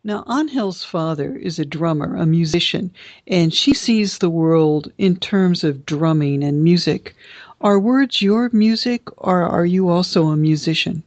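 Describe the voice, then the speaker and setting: An American radio show host in a measured interview, asking questions plainly. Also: medium pitch, darkish tone, dry recording. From United States.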